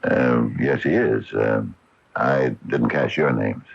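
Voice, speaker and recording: An actor playing an older, hesitant and courteous small-town hardware store owner in a radio mystery drama, with a gravelly voice. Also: male voice, very deep voice, takes it slowly, exaggerated voice. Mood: polite, wary. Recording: dull lo-fi radio broadcast recording.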